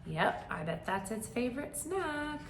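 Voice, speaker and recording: A woman's voice: on the high side, sing-song, measured pace, roomy sound. A woman leads a read-aloud for young children in a teacher-like way. She explains things simply and asks the listeners questions, with some background noise on the recording.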